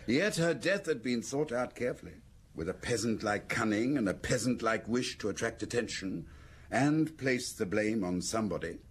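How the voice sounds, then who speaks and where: A man playing a thoughtful, educated confidant in a radio drama, measured and inquiring. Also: mid-range voice, animated, lightly acted. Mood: reflective. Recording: old radio, faint ambient noise.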